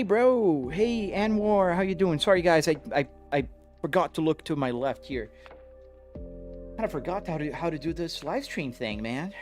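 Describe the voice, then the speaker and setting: A Brazilian man whose first language is Portuguese, chatting casually with viewers on a live stream while driving a truck sim, greeting people by name. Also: high voice. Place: home sim rig.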